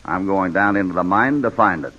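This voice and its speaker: Forceful, low-voiced cowboy hero. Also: male voice, a little fast, big-room sound. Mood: firm, decisive. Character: calm, decisive heroic cowboy in his prime. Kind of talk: old-time western radio drama acting. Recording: dull noisy vintage radio recording.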